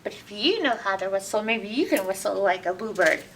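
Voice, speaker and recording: A woman reading a picture book aloud to children as a library storyteller, expressive and often putting questions to her listeners. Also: medium pitch, a little fast. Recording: distant mic with background noise.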